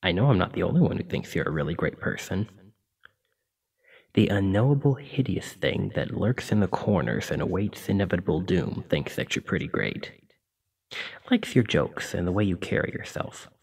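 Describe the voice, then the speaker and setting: Gravelly, gentle demon played by a man. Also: low voice, expressive, moderate pace, recorded from a distance, full character voice. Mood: chatty, fond. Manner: gentle, reassuring, informal. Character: kindly, apologetic, encouraging male sleep paralysis demon. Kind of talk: ASMR roleplay, soft reassuring monologue.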